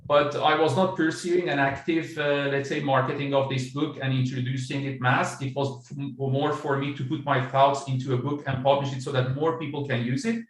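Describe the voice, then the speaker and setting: A man's voice: mid-range voice, steady tone. Iranian-born man, roomy distant call audio. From Iran. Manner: measured, explanatory, frequent uh fillers. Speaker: author and business coach. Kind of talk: interview answers over video call. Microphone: video call.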